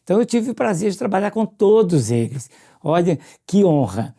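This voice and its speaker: A radio presenter with a Brazilian accent, reminiscing casually in an expressive storytelling way and often turning questions to the listener. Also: mid-range voice, moderate pace, over loud music.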